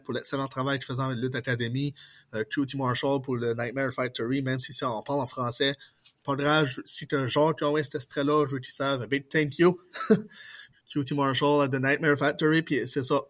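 Enthusiastic wrestler guest. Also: male voice, mid-range voice, fairly bright tone. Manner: enthusiastic, mixes in English words. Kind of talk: casual interview chat.

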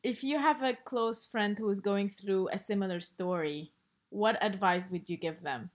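A woman hosting a podcast, reading a measured introduction and asking gentle questions. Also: medium pitch. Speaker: podcast host. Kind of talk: podcast interview.

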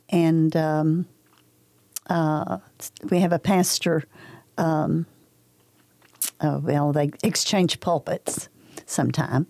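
A soft-spoken older woman with a Kentucky accent reminisces slowly and hesitantly. Her voice is low-pitched and mellow, recorded close and dry. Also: no room sound. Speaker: interview guest. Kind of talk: casual reminiscing interview.

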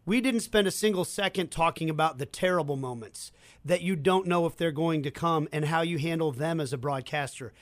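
A man's voice: fairly high pitch, brisk, no room sound. A man from Kansas City, a co-host and play-by-play announcer, telling a story in fluent, conversational chat. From Kansas City, United States. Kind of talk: casual chat.

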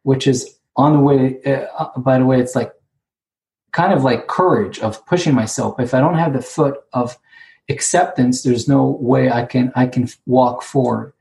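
A man with a Hebrew accent, Hebrew being his first language, sharing about recovery and sponsorship at an online conference, speaking haltingly and repeating words as he searches for them. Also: mid-range voice, gravelly, a little mellow, a little hiss or hum. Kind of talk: personal share at an online conference. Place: sitting alone, remote.

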